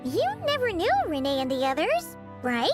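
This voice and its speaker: Tiny fairy companion: childlike, chatty, very high and animated, video game. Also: female voice, normal speed. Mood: curious. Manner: talks of herself in third person, exclaims often. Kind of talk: video game character acting. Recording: loud background music. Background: tinkling children's game music.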